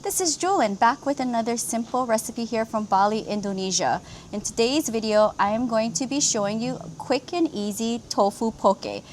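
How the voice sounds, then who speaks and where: A woman's voice: a little high, moderate pace, slight background noise. A woman from Hawaii hosting a cooking vlog, explaining each step of a simple recipe in an upbeat way. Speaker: cooking channel host.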